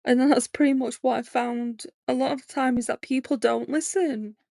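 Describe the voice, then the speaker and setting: Gravelly, flat-voiced young woman. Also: high voice, normal speed, no echo, studio quality. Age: 20s. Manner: candid, rambling, heavy with fillers. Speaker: podcast host. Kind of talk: personal monologue.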